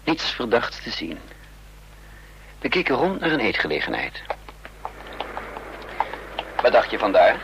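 A man's voice: mid-range voice, wildly swooping pitch, unhurried, gravelly, long pauses, dull audio, heavily acted. An actor playing a suave, sly man on the run in a radio drama, teasing and mock-pompous, who also narrates. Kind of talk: radio drama acting and first-person narration. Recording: slightly noisy radio drama recording.

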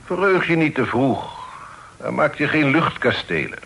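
An actor playing a stern but fair middle-aged father in a period radio drama, speaking formally and in measured, admonishing phrases. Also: on the high side, animated, gravelly, fairly bright tone, breathy edge, full character voice. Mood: firm, cautioning. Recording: noisy old radio play recording.

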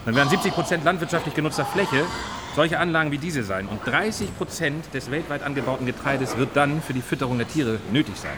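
An actor playing a nutrition scientist in a radio drama, explaining didactically with hesitations and little checking tags. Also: male voice, fairly high pitch, fast, lightly acted. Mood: matter-of-fact. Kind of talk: naturalistic radio drama in reportage form. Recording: noisy outdoor-like ambience behind the voice.

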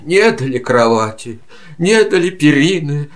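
A woman's voice: low voice, lively intonation, a little slow. A woman playing a humbled, weary adult animal in a children's audio play. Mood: humble, weary.

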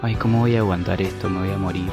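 A man's voice: low-pitched, fairly even intonation, fast talker, over loud music. A young man with an Argentine accent playing an anxious, tired university student in a student radio drama. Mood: distressed. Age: young adult. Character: anxious, weary nineteen-year-old male university student.

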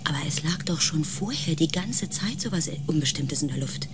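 A male actor playing an anxious member of a space expedition in a radio play, telling the story in a suspenseful, hushed manner. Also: a little high, animated, moderate pace, background noise, close to an everyday voice. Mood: shaken, subdued. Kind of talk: radio play acting with first-person narration.

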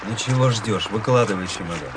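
A man's voice: lowish voice, a little fast, noisy background, natural voice. An actor in a radio play playing a weary, impatient army officer who speaks curtly and tells his own story. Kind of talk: radio play acting with first-person storytelling.

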